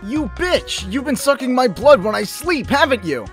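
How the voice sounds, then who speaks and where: Man acting a scrappy, animated teenage boy. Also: very high voice, moderate pace, soft background music, exaggerated voice. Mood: indignant. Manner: blunt, excitable, crude. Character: scrappy, simple-minded, hungry teenage boy. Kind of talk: comic dub acting.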